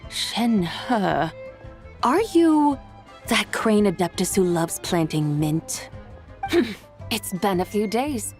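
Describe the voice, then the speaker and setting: A woman's voice: mid-range voice, lively intonation, slow pace. A woman voicing an eccentric, imperious yet muddled immortal in a video game, speaking in theatrical, formal, old-fashioned phrases. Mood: amused, teasing. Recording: faint game music behind the voice.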